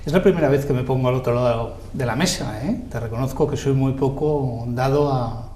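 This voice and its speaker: A man with a Madrid accent, a guest telling stories about his life in a relaxed, modest way in a TV studio interview. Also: medium pitch, brisk, a little hiss or hum. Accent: Madrid Spanish. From Spain, Madrid. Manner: self-deprecating storyteller, modest. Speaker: guest, lawyer and journalist.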